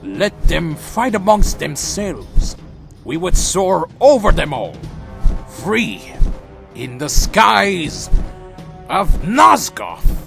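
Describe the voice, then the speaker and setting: A man playing a brooding, defiant vampire clan leader in a fantasy audio drama, speaking with slow grandeur. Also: sits high, very animated, a bit bright, full character voice. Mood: solemn resolve. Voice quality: gravelly. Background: music.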